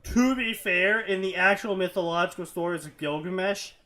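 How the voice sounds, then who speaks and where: A man's voice: high voice, moderate pace, sharp tone. A man co-hosting a casual podcast, reading fanfiction aloud and breaking off with dry asides about its writing.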